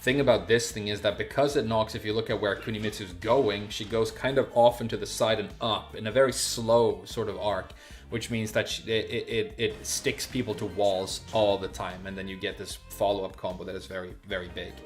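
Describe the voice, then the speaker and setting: Low-voiced male streamer, halting and off-the-cuff. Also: steady tone, faint music behind. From Sweden. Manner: halting, searching for words with repeated false starts. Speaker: live streamer. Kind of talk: casual unscripted livestream chat and game commentary.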